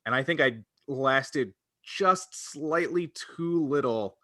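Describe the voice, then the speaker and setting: A man's voice: mid-range voice, slow, bright, forceful tone, no room sound, clean studio sound. A man chatting casually as a podcast co-host, reminiscing in a rambling, hesitant way that often doubles back on itself. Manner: rambling, hesitant, self-interrupting. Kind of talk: casual chat, personal reminiscing.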